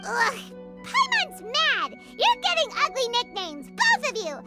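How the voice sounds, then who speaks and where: Tiny childlike game sidekick: extremely high squeak, wildly swooping, easily offended. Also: female voice, huge pitch swings, measured pace, bright, forceful tone, heavily acted. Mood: indignant. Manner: exclamatory, refers to self in third person. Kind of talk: anime-style video game voice acting. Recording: music behind the voice.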